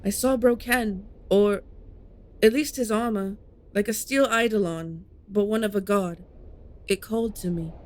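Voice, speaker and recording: A woman's voice: mid-range voice, a little slow, natural voice. Actress as proud female paladin, defiant, dry sardonic edge, mid-range voice, fantasy audio drama. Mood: earnest.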